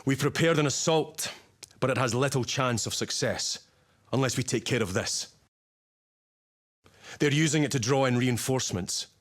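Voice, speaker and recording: An actor playing a stern, battle-hardened Space Marine commander in a video game, giving terse, authoritative orders in a gritty voice. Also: male voice, mid-range voice, moderate pace, pauses often, lightly acted. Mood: grave, commanding. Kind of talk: dramatic video game voice acting, mission briefing.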